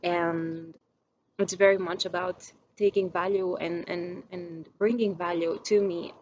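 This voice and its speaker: A woman's voice: fairly low pitch, steady tone, unhurried, a little mellow, dull audio, a little hiss or hum. A young woman from Greece, talking to camera in a reflective personal vlog, musing aloud with many restarts while she searches for her words. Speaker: vlogger.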